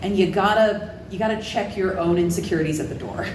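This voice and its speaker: Deep-voiced woman voice actor. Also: a little fast, a loud crowd. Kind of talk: panel interview answer. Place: convention panel room. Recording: echoey room, noisy.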